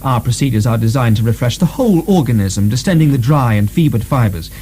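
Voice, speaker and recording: An actor playing an earnest, precise nineteenth-century asylum doctor explaining things in a radio drama. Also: male voice, mid-range voice, animated, a little fast, dark tone, close to an everyday voice. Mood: earnest, clinical. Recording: 1990 radio broadcast, faint hum.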